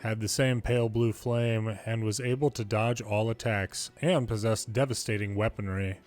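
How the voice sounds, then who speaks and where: A man's voice: on the low side, steady tone, normal speed, a little mellow, soft background music. A man reading video game dialogue aloud in English as the narrator of a longplay.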